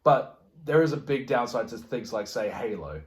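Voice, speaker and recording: A man's voice: mid-range voice, lively intonation, normal speed, slight background noise. A man in a casual podcast chat, informal and agreeable, thinking aloud as he goes. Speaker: podcast co-host. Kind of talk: casual chat about film and game adaptations. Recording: echoey, roomy sound.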